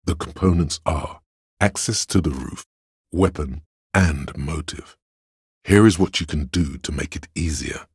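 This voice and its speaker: Very deep, raspy voice of logic. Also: male voice, dry recording, full character voice. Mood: level-headed, disappointed. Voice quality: very deep, gravelly rasp. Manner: measured, deliberate, analytical, dry. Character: calm, cerebral voice of Logic inside a detective's mind. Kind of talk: video game inner-voice narration.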